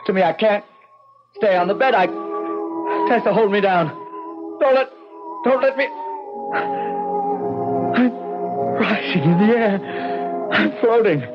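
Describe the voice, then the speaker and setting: An actor playing an intense, obsessive husband in an old-time radio drama, speaking in a theatrical, insistent way. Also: male voice, sits high, slow pace, long pauses. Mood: panicked, pleading. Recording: muffled old radio recording, music behind.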